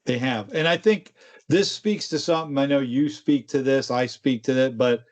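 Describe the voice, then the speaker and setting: A man's voice: medium pitch, moderate pace. A man co-hosting a tech show, explaining in a casual, conversational way with informal asides. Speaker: co-host of a tech discussion show.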